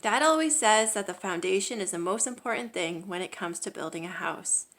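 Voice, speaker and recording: A woman reading a children's picture book aloud as a storyteller, with expressive, emphatic delivery. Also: mid-range voice, brisk.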